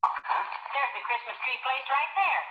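An actress playing a dry, wisecracking wife in an old radio comedy, with a teasing manner. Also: female voice, high-pitched, lively intonation, takes it slowly, roomy sound, dull audio. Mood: wry. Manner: wry, teasing. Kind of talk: 1940s radio comedy acting. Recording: boxy, noisy old radio recording.

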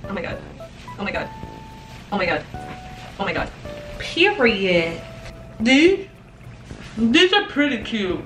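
A woman's voice: mid-range voice, big pitch swings, slow, pauses often, a bit bright, faint music behind. Young woman, bubbly, self-mocking, home kitchen, roomy echo. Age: young adult. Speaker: vlogger. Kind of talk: casual cooking vlog.

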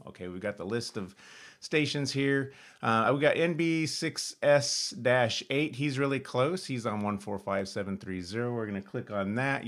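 A middle-aged American man with a fairly low voice walks through a hands-on demonstration. He talks casually and thinks aloud, narrating each step as he does it. He is recorded indoors in a small home room. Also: fairly bright tone. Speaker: host of an amateur radio tutorial. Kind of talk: casual screen-share demonstration.

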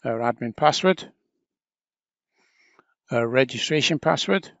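Male trainer, medium pitch, informal step-by-step explainer delivery, dry lo-fi voice-over recording. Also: lively intonation, slow pace, vocal fry, fairly bright tone, no room sound. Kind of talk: casual step-by-step software tutorial narration.

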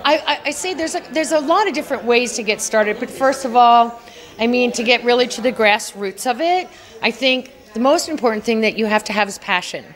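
A woman's voice: fairly high pitch, animated, fairly quick, a bit bright. A middle-aged woman, an award honoree, talking earnestly and chattily in a red carpet interview at an indoor gala, with frequent little laughs. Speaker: award honoree, beauty entrepreneur. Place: indoor gala press wall. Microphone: handheld mic held by interviewer.

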